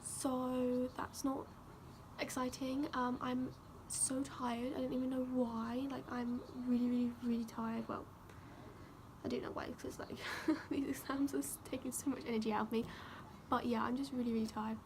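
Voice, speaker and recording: A teenage girl chatting casually to camera for her vlog, voice croaky from a sore throat, full of "like" and "um". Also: sits high, level tone, normal speed, noisy background. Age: teens. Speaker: vlogger.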